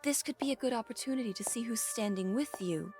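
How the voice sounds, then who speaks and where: An actress playing the poised, scheming wife of a powerful man in an audio drama, coaxing and deliberate. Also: a little high, music in the background, close to an everyday voice. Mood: persuasive, calculating.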